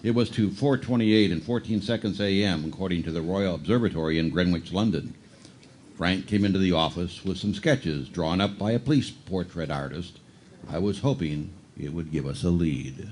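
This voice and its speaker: A man playing a deadpan police detective in a live old-time radio drama parody, narrating his case in clipped procedural lines. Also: deep voice, moderate pace, lightly acted. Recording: noisy live shipboard stage performance.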